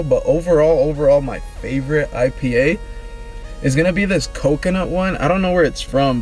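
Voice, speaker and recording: A man in his twenties, vlogging in a relaxed, rambling, slangy way, answering questions about himself. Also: mid-range voice, poor audio. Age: 20s. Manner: laid-back, rambling, slangy. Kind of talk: casual get-to-know-me chat.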